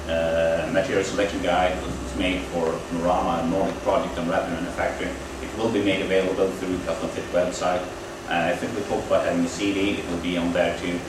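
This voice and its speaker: A man with a deep, low voice talks through a technical lecture in a halting, explanatory way. He is picked up from a distance in a very echoey university room. A steady air-conditioning hum runs underneath. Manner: halting, explanatory, with frequent pauses. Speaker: lecturer at a training event.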